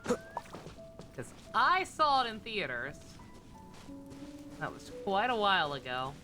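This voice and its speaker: A woman's voice: medium pitch, wildly swooping pitch, takes it slowly. A woman live streaming a video game, chatting casually as she plays. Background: game music.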